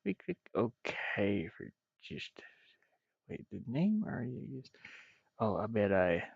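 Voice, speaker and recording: A man with a gravelly voice rambles and mutters to himself, thinking aloud casually as he streams his game development work. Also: lowish voice, very animated, slow pace, no echo. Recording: dull, muffled, dry lo-fi microphone.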